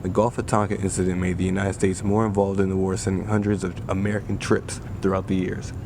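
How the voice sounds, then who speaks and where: Deep-voiced man narrating, rumbly noisy recording. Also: flat delivery, quick pace. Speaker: newsreel narrator. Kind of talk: scripted newsreel narration. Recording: rumbling, clearly noisy background.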